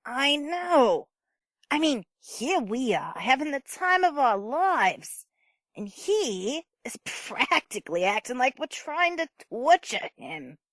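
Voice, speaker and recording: A woman's voice: sits high, sing-song, slow, fairly bright tone, dry recording, heavily acted. A woman playing a blithe, flippant, party-loving neighbour in an amateur audio play, breezy and dismissive.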